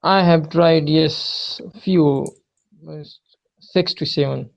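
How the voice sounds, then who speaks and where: Gravelly young man on a dull call. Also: fairly high pitch, fairly even intonation, slow. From Pakistan. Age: 20s. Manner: short hesitant answers, many "uh"s. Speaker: student. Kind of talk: casual conversation practice. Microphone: video call. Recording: dull lo-fi video call audio.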